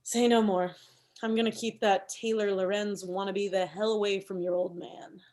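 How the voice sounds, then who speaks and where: A woman's voice: mid-range voice, steady tone, normal speed, a little mellow, lightly acted. A woman playing a pushy, combative thirty-year-old campaign manager in a comedy table read, arguing emphatically. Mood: brisk scheming. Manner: argumentative, emphatic.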